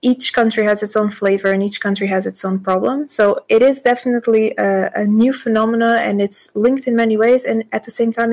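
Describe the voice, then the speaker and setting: A woman in her twenties from Portugal, a politician answering interview questions thoughtfully, with pauses and restarts. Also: mid-range voice. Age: 20s. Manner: thoughtful, hesitant with fillers and restarts. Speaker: politician, guest.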